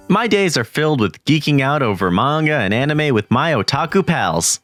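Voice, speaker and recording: Lively man narrating a manga story. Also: fairly high pitch. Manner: flustered, jumpy exclamations. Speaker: narrator and lead voice in a manga dub. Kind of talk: first-person story narration.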